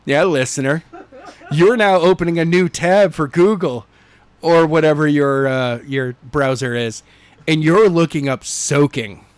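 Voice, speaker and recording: A man's voice: a little high, measured pace, smooth, no echo. A man co-hosting a podcast with casual, teasing banter. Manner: joking, teasing. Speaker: podcast co-host.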